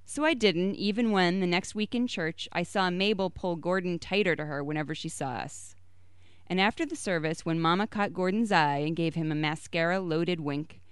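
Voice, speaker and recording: A woman reads a short story aloud in a fairly low, smooth voice. She is recorded close and dry, with no room sound around her, and she lifts her voice a little higher and brighter when a character speaks. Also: dry recording. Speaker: story reader.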